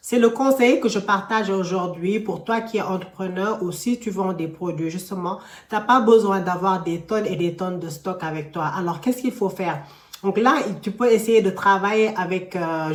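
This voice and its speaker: A young woman with a slight cold talks through money advice in a chatty, direct way. Her voice sits a little low and bounces around an echoey room. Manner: chatty, conversational, addresses viewers directly. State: a bit of a cold. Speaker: entrepreneur and finance vlogger. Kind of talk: casual advice vlog.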